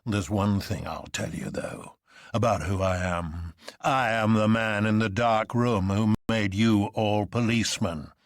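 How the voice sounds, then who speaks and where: A man acts out an immense, mysterious president for a radio story. He is silky and teasing, with grandiloquent phrasing and a booming, theatrical sense of his own riddle. The sound is dry. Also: fairly even intonation, unhurried, dry recording, natural voice. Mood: solemn. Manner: smooth, mock-genial, grandiloquent.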